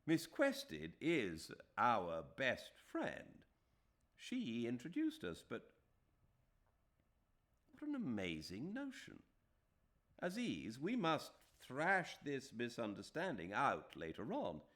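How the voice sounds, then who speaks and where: Guy doing a snooty english gentleman, scathing and disbelieving, voice lifted up high. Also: wildly swooping pitch, slow, full character voice. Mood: brisk. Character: scornful Englishman, cool and superior.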